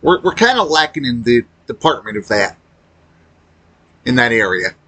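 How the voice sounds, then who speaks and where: A man giving casual, unscripted opinion commentary as a gaming video creator, halting as he talks and blunt in his complaints. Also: mid-range voice, big pitch swings, bright, forceful tone.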